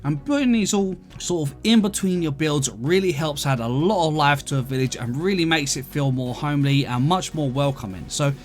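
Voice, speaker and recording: A man's voice: fairly high pitch, normal speed, hardly any pauses. A man from London with an English accent gives chatty, explanatory casual commentary as the host of a gaming video, answering viewer questions. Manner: chatty, explanatory, addresses listeners as guys.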